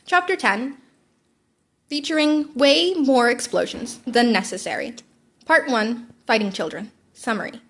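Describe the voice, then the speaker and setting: A woman's voice: a little high, animated, a little slow, vocal fry, a bit bright. A woman reading fan fiction aloud informally, as an amateur audiobook, now and then stumbling and rereading a line. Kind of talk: story read aloud with character voices.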